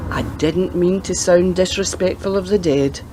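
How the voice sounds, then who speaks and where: A woman's voice: fairly low pitch, normal speed, mellow, slight background noise, lightly acted. An actress playing a chatty, doting and cheeky old grandmother in a radio play, fussing and teasing as she talks. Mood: earnest, advising.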